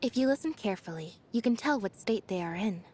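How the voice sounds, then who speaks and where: A woman voicing an aloof, quiet young female magician's assistant in a video game, deadpan, reserved and understated. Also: mid-range voice, quick pace, a little hiss or hum, natural voice. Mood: thoughtful. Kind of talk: video game character voice lines.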